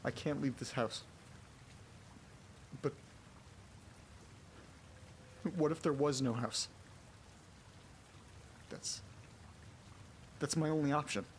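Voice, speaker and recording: A man playing a frightened character trapped in a haunted house, muttering to himself haltingly and swearing in a horror audio drama. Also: a little high, slight background noise. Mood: desperate resolve.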